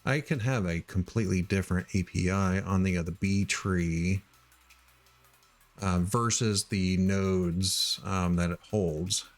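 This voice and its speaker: A middle-aged man from Missouri thinking aloud while he writes code, halting with many ums and uhs. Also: sits low, soft background music. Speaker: live-coding streamer.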